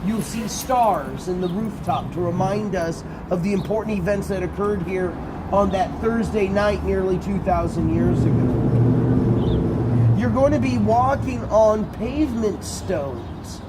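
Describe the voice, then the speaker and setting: A male tour guide with a booming voice talks a group through a site, animated and conversational. He's recorded outdoors, with street and vehicle noise clearly audible around him. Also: a little slow.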